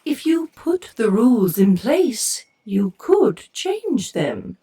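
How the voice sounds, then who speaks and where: Mournful female alien: very high, slow, pleading, slightly distant over music. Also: very animated, warm tone, heavily acted. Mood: plaintive, pleading. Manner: plaintive, pleading. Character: sorrowful female alien, lone survivor of destroyed planet.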